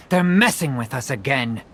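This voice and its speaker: A woman's voice: very deep voice, expressive, unhurried, fairly bright tone, faint music behind, heavily acted. A woman playing a defiant, fiercely loyal woman in a video game, wry and feisty. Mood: defiant, scornful.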